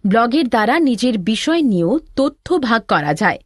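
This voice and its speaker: Woman as helpful big sis in a learning cartoon, scripted tutorial, high lilting voice, dry sound. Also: sing-song, moderate pace, no echo. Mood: instructive. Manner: instructive, reassuring. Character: helpful elder sister teaching in an educational cartoon. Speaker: tutorial narrator and teacher. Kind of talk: scripted instructional narration.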